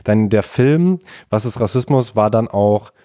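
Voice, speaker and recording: Low-voiced male interviewer, dry sound. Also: lively intonation, measured pace, mellow, dry recording. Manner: friendly, teasing questions. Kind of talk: casual interview chat.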